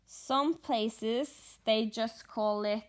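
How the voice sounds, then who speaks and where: A woman from Norway with a Norwegian accent, chatting casually in a vlog, pausing and thinking aloud, a little sleepy. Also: fairly high pitch. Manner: halting, thinking aloud with fillers. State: just woken up, short on sleep. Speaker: stitching vlogger.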